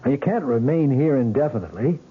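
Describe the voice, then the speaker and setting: Blunt police lieutenant, tinny old radio. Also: male voice, medium pitch, expressive, fast talker, husky. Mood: firm, insistent. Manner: pressing, matter-of-fact questioning. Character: blunt, insistent male police lieutenant questioning a woman. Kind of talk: 1970s radio drama acting. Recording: tinny narrow-band old radio recording.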